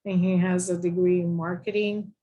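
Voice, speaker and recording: A woman's voice: on the low side, little pitch movement, moderate pace, dark tone, lo-fi sound. A woman in her fifties from the Dominican Republic, a physician and professor, introducing herself plainly on a panel. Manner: plain, matter-of-fact with fillers.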